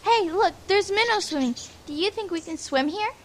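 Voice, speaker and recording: A woman's voice: very high voice, expressive, moderate pace, slight background noise. A woman playing a breezy, confident young girl scout in an audio drama, casual and unbothered. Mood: eager.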